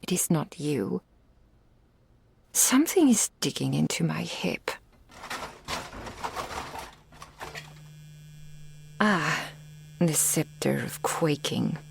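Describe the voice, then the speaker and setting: A woman voicing a possessive, adoring dragon in human form for an intimate ASMR roleplay, with regal, old-fashioned phrasing and a breathy, often whispered delivery. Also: sits low, very animated, heavily acted. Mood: mild grumbling. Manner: slow, formal archaic phrasing, regal and doting. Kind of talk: intimate ASMR roleplay, scripted character acting. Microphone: close studio mic, dry.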